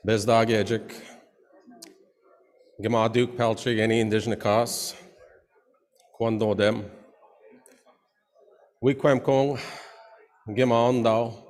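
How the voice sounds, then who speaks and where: A man who is a chief, giving a formal presentation to an assembly in a loud voice with many pauses. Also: mid-range voice. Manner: loud, pausing often. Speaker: chief and languages portfolio holder. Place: large assembly hall. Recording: long hall reverb.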